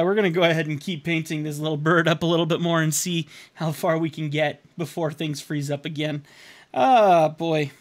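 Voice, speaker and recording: Man, 30s, artist on a home live stream, rambling and self-deprecating, headset sound, voice a bit high. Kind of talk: casual live-stream narration while painting. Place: home studio room indoors.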